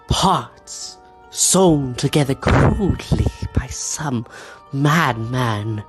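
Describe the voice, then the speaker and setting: A man narrating a tabletop game scene as game master, slowly and theatrically, at times dropping to a whisper. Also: medium pitch. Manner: slow, theatrical storytelling with hushed whispers. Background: background music.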